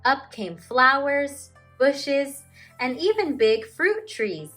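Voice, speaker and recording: A woman teacher presenting and reading a children's storybook aloud in an expressive, emphatic storytelling manner. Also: sits high, takes it slowly, soft background music.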